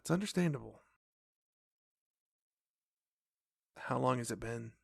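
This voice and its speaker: A woman playing a protective, cautious fey sibling in an audio drama, earnest and gently stern. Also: very deep voice, animated, quick pace, vocal fry, slightly rough, dry recording, full character voice. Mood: gentle.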